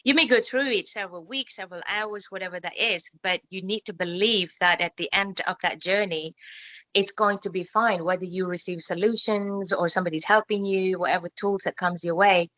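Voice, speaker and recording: A woman, born in Malaysia, answering interview questions in a calm, reflective way, speaking English with loose grammar and spiritual phrasing. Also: mid-range voice, brisk, no room sound, low-quality recording. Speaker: skin expert interviewee. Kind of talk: reflective casual interview talk.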